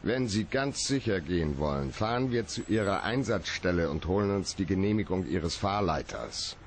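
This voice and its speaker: An actor playing a cool, sardonic private detective in a radio drama, terse, probing and dry. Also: male voice, low-pitched, animated, moderate pace, a little hiss or hum. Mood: probing, curt. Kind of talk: radio drama acting, hardboiled.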